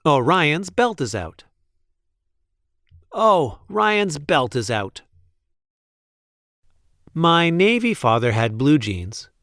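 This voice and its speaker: Animated man reading aloud, slow and deliberate. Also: a little high, dry recording, clean studio sound. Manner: deliberate, playful emphasis on repeated sentences. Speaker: read-aloud storyteller. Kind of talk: expressive picture book read-aloud.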